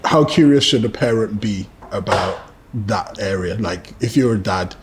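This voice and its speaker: A man's voice: lowish voice, normal speed, noisy background. A man hosting a podcast discussion, asking questions and rephrasing them as he talks. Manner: probing, rephrases questions while speaking. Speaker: podcast host. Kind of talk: casual discussion.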